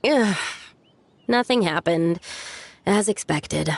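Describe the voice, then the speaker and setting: A woman's voice: lowish voice, lively intonation, takes it slowly, gravelly, darkish tone, heavily acted. A young woman playing an earnest, doubtful girl in a video game, speaking hesitantly.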